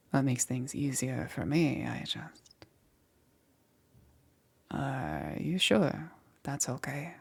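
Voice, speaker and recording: A woman playing a gentle, apologetic witch in an intimate ASMR roleplay, speaking softly and hesitantly in a breathy near-whisper. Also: very deep voice, very animated, exaggerated voice. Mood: hesitant, concerned. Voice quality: soft, breathy, often whispered. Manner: hesitant, halting, reassuring. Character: gentle, apologetic witch necromancer caring for her undead patient.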